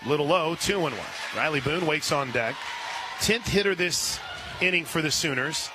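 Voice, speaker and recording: A man calling live softball play-by-play on the radio as the announcer, with an excitable delivery. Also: fairly high pitch, normal speed. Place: at a softball stadium. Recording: noisy live radio broadcast with stadium ambience. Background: clear background noise, ballpark ambience.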